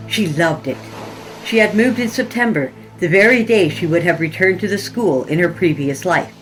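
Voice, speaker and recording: Female narrator for horror fiction podcast, somewhat low register, dramatic scripted read, light music bed. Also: low-quality recording. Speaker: podcast host and story narrator. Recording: music behind the voice.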